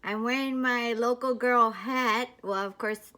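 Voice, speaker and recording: A woman's voice: fairly high pitch, steady tone, unhurried, bright, forceful tone. A woman from Hawaii talking casually to the camera as a vlogger, telling stories in a chatty, rambling way with bits of local slang.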